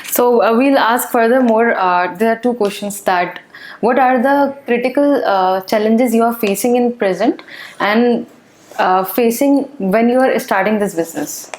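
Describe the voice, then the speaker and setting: A woman's voice: a little high, a little mellow. Pakistani woman hosting an interview. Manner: filler-laden, brisk questions. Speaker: interview host.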